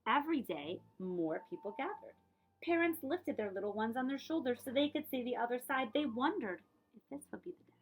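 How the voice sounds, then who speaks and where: A woman's voice: sits high, a little fast, a little hiss or hum, poor audio. Woman reading a storybook aloud. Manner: expressive storytelling, putting questions to young listeners. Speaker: librarian reading a picture book aloud. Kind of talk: children's read-aloud with asides to listeners.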